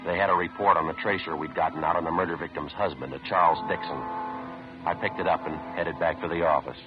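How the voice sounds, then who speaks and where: Guy acting a deep deadpan cop narrating an old radio crime show, terse, dull muffled sound. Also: quick pace, music in the background, natural voice. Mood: matter-of-fact. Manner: deadpan, matter-of-fact. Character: stoic male police detective, terse and methodical. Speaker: narrator and lead actor. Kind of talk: 1950s radio police drama, clipped narration. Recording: muffled vintage radio recording.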